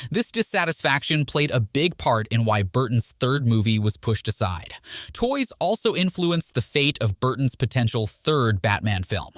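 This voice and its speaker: A man's voice: a little high, a bit bright, dry recording, studio quality. A man reading a scripted film trivia narration in a presenter's manner, posing questions to the listener. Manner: presenter-like, poses rhetorical questions. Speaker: video narrator.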